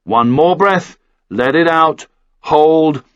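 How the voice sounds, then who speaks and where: A man's voice: on the high side, slow, a bit bright, no room sound, dull audio. A man reading a first-person action thriller as scripted audiobook narration.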